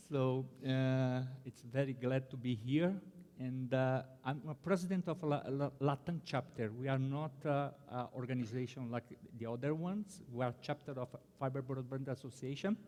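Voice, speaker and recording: A man from Brazil, a native Portuguese speaker, giving a conference presentation in English with a Brazilian accent, halting with many fillers and restarts. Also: mid-range voice, a little mellow, big-room sound. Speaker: conference panelist. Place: conference hall.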